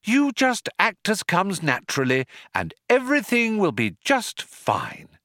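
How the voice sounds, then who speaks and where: A man voicing a patient, bright and compassionate but firm woman with a soothing lilt in a storybook reading. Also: high voice, wildly swooping pitch, unhurried, no room sound, clean studio sound, pitched above the performer's own voice, exaggerated voice. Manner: lilting, soothing.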